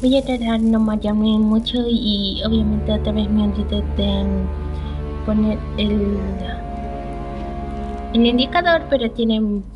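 A woman's voice: mid-range voice, steady tone, takes it slowly, lo-fi sound. A woman doing casual let's play commentary on a video game, hesitant and half talking to herself. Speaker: gaming channel host playing a video game. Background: game music.

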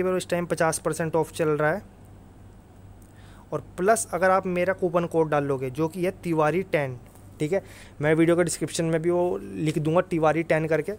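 Higher-pitched man explaining. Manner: instructive, emphatic, repeats tag phrases. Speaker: exam-prep video presenter. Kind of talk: informal explainer over screen recording.

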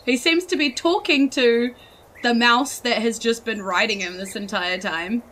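A woman's voice: fairly high pitch, a little slow, fairly bright tone. A woman with a New Zealand accent, giggly and casual, narrating as a player in a tabletop game. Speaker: tabletop role-playing player. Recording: faint background noise.

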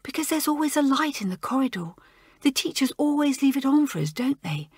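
A woman reading aloud, voicing an ashamed schoolgirl who sobs and pleads as she confesses her fear of the dark. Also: high voice, big pitch swings, fairly quick, no echo, clean studio sound, pitched above the performer's own voice. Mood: tearful. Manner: sobbing, pleading.

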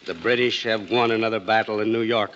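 A man's voice: fairly low pitch, steady tone, brisk, fairly bright tone, close to an everyday voice. A man playing a plain colonial husband in an old-time radio drama. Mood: doubtful. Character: plain colonial husband, skeptical then astonished. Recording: dull, slightly noisy vintage radio.

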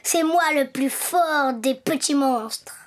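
High-pitched, boastful little cartoon donkey boy. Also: slow pace. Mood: mischievous. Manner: childlike, boastful, theatrical. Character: playful little boy donkey, imaginative and cheeky. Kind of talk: children's cartoon voice acting.

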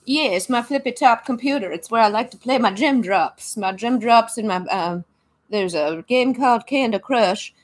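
A woman's voice: fairly high pitch, moderate pace, natural voice. A woman putting on the voice of a forgetful eighty-nine-year-old grandmother who rambles and mishears things. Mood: rambling. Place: small home room, indoors.

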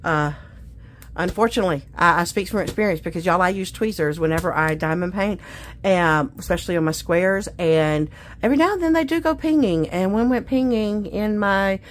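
A woman's voice: lowish voice, normal speed, slight background noise. A woman chatting casually to viewers as a craft vlogger, in a gushing, exclamatory, folksy way. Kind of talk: casual unboxing chat to viewers. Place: indoors at a craft table.

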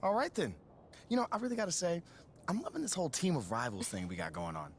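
An upbeat career adviser in a game cutscene. His delivery is casual and enthusiastic, swinging widely in pitch, with faint ambience underneath. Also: wildly swooping pitch, fast, a little hiss or hum. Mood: approving.

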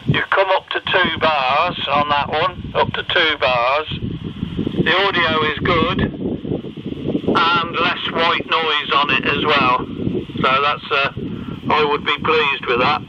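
Male radio operator, very slow delivery, higher-pitched voice, dull band-limited CB sound with static. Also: steady tone. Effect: CB radio transmission. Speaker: radio contact giving signal reports. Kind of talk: unhurried two-way radio talk.

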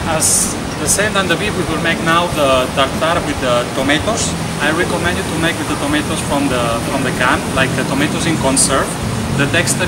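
A man's voice: on the high side, heavy background noise. A man in his thirties, a chef, walking through a recipe step by step in non-native English with frequent fillers, outdoors on a hotel terrace. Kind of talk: cooking demonstration. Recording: distant voice under loud music.

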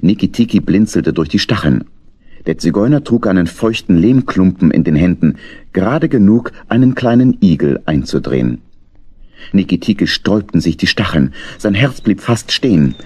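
A man tells a children's story with dramatic flair. His voice is very deep and husky, with lively movement in pitch. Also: moderate pace, no echo. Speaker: narrator.

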